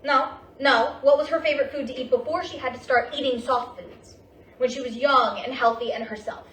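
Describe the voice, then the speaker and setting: A woman playing a weary, defensive mother in mourning, her bright, forceful voice set a little high. It is a stage drama reading, heard from a distance in a roomy space. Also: fairly even intonation, fairly quick. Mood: accusing. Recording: roomy distant stage recording, faint noise.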